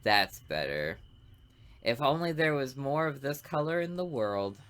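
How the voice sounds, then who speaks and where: A man voicing a smug, artistic serial killer who narrates a visual novel with deliberate, theatrical, morbid relish. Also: mid-range voice, very animated, fairly bright tone, smooth.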